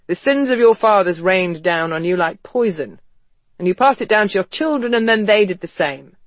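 A man plays a well-bred English gentleman from a period novel, articulate and drily witty. The voice is pitched high and emphatic, in a close, dry audiobook sound. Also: animated, normal speed, dry recording. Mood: bitter, scornful. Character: wry upper-class Englishman, an impassioned period-novel gentleman. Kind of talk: audiobook character dialogue.

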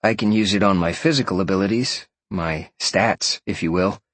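Man playing a casual young guy, low-voiced. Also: normal speed, dry recording. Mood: candid, wary.

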